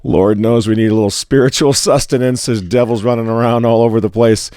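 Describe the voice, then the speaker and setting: A man, hoarse and raspy from a cold, giving sarcastic commentary on religion and politics as an editor. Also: medium pitch, steady tone, fairly quick, warm tone, no echo, clean studio sound. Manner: sarcastic, mocking asides. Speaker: newspaper editor hosting commentary.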